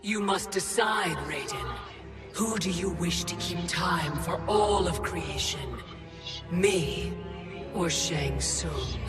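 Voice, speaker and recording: Slow, imperious female Titan villain in a game cutscene, loud score behind. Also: fairly low pitch, lively intonation, over loud music. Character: Kronika, ancient female Titan keeper of time, cold and imperious. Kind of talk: video game cutscene acting.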